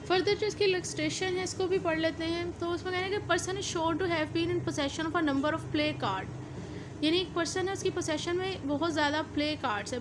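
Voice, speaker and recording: A woman with a Pakistani accent teaches in a distinctly high voice. She explains step by step, repeating and rewording points and slipping in English technical terms. Clear background noise runs behind her. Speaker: law lecturer. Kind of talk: explanatory lecture mixing in English legal terms.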